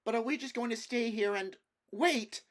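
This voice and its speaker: A man's voice: extremely high pitch, normal speed, pitched above the performer's own voice. A man voicing an anxious, inquisitive young schoolgirl in a storybook reading, breathless and worried. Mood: alarmed.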